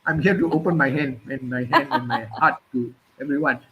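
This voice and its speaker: A man hosting a casual conversation, speaking hesitantly with many pauses and fillers. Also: mid-range voice, bright, forceful tone, lo-fi sound.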